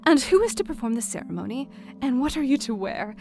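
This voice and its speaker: A woman playing a chatty, affectionate young wife reading out her period letter in an audio drama, expressive and gently formal. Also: sits high, fast talker. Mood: eager affection. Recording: instrumental music under the voice.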